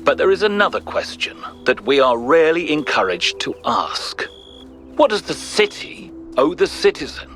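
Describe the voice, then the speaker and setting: An actor playing a thoughtful, principled ancient Greek philosopher in a video game, giving a grave, measured, rhetorical monologue. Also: male voice, on the high side, very animated, fairly bright tone. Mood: questioning, pointed. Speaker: narrator of philosophical audio logs. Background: music.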